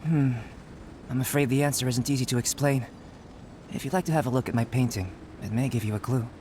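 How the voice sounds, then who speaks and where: A man playing a calm, detached young scholar and alchemist in a video game, speaking in a measured, formal, analytical way. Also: medium pitch, noisy background, lightly acted.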